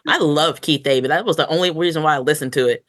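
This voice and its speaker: A woman co-hosting a podcast in casual review chat, telling things in an expressive, story-like way. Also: low voice, fast talker, dry recording, studio quality. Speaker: podcast co-host.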